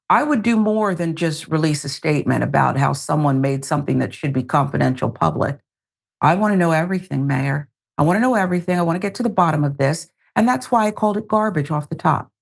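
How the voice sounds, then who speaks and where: A woman hosting a news show, reading reports and commenting in an emphatic, deliberate way with pointed asides. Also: lowish voice, vocal fry.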